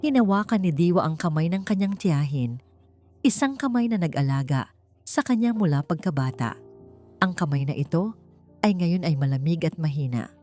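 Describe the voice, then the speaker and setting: A woman's voice: sits low, expressive, normal speed, dark tone, soft background music. A woman narrator reading a scripted dramatic story as an audiobook.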